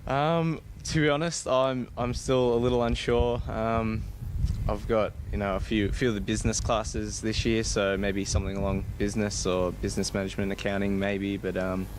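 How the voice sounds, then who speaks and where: Aussie teen boy school captain, flat lowish voice, hesitant and modest, noisy outside. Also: moderate pace. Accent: Australian. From Torquay, Victoria, Australia. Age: teens, about 17 to 18. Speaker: student school captain, interviewee. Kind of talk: informal spoken interview. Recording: noisy outdoor location, traffic ambience.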